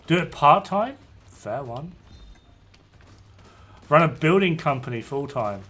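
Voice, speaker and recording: A man's voice: on the high side, animated, slow pace, fairly bright tone, soft background music. A man, chatting casually while streaming a game, informal and blunt, with some swearing. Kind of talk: casual gameplay chat with other players. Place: indoors at a gaming PC.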